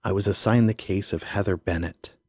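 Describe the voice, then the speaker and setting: A man's voice: low voice, steady tone, brisk, darkish tone, no echo. A man playing a worn-out but dogged police detective, guarded and probing, in an audio drama. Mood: probing, earnest.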